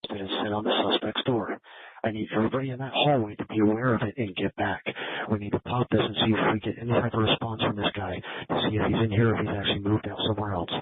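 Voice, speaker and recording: Husky, fast-talking tactical officer, man. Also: fairly low pitch, fairly even intonation, no room sound. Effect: police radio transmission. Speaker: SWAT police officer. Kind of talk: urgent tactical radio call. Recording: tinny narrow-band police scanner audio.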